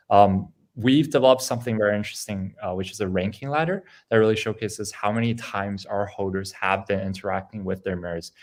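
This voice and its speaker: Deep gravelly man on a video call. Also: darkish tone. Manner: loose, halting, with many ums and restarts. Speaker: game studio presenter. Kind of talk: casual online presentation.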